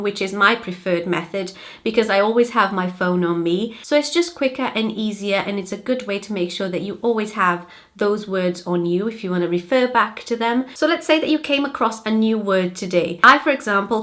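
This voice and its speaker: A young woman from Brazil whose first language is Portuguese, speaking English with a British-leaning accent, giving clear, upbeat vocabulary tips in a home office. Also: mid-range voice, fairly bright tone. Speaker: language-learning vlogger. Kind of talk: friendly tutorial vlog.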